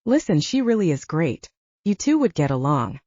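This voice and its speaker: A woman's voice: low-pitched, very animated, normal speed, dark tone, no echo, dull audio. A woman narrator voicing a protective, commanding male werewolf, an older brother, in an audiobook. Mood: insistent.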